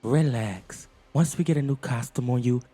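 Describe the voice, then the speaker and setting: A man's voice: medium pitch, normal speed, dark tone, voice pushed up, close to an everyday voice. A man voicing a bossy, breezy studio director in a children's storybook, speaking emphatically. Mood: brisk, dismissive.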